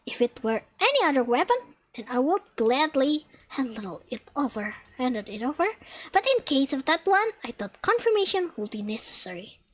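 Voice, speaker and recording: A woman voicing a composed young engineer student from an anime game, measured and explanatory. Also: high-pitched, big pitch swings, voice pushed down, poor audio. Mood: calm, measured. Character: composed young female engineer student, matter-of-fact senior.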